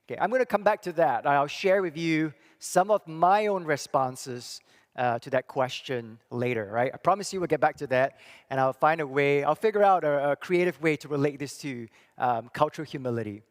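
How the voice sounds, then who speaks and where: A middle-aged man from Singapore giving a church talk, thoughtful and halting, with many fillers and restarts. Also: on the high side. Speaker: church speaker and psychology professor. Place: church hall. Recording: long reverb of a hall.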